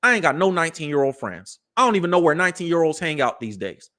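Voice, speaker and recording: A man's voice: fairly high pitch, very animated, fast talker, bright, forceful tone. A man in his thirties, hosting a commentary show, lecturing his listeners in a preachy, slang-filled way. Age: 30s. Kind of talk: animated live commentary.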